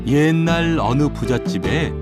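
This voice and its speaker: A man narrating a children's folktale in an animated, dramatic way with playful sound words. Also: a little high, unhurried. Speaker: storyteller, narrator. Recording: music behind the voice.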